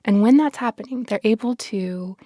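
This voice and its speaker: A woman, a podcast guest explaining science in an expressive, articulate, conversational way. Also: a little high, normal speed, dry recording, studio quality.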